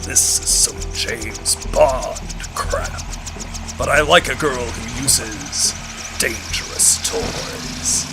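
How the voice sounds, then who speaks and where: Man doing a pompous, slow-talking Soviet villain. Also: mid-range voice, over music. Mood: smug menace. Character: bombastic villainous Soviet colonel, gleefully menacing. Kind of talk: comedic parody dub.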